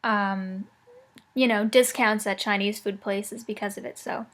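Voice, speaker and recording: A woman's voice: medium pitch, fairly even intonation, a little slow, a bit bright. A woman making a prank phone call, talking in a mock-serious, teasing way. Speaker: prank caller.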